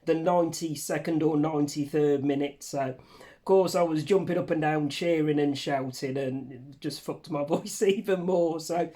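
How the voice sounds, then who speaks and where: Man, hoarse, croaky from a cold, slightly high voice, rambling casual vlog chat, home room. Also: normal speed, dark tone. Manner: chatty, rambling, casually swearing. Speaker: channel host. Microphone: facing camera under a ring light.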